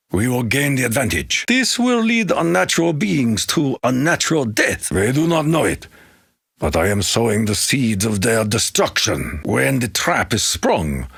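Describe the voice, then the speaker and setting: An actor playing a solemn, brooding Japanese samurai in a video game, speaking formally and portentously in a gravelly, husky voice with a Japanese accent. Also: male voice, medium pitch, a bit bright, no echo, studio quality, heavily acted. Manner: formal, portentous, deliberate delivery. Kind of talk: theatrical video game character lines.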